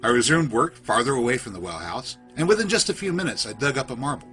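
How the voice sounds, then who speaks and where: Animated man narrating a creepy first-person story. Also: medium pitch, faint music behind. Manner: literary, measured, suspenseful storytelling.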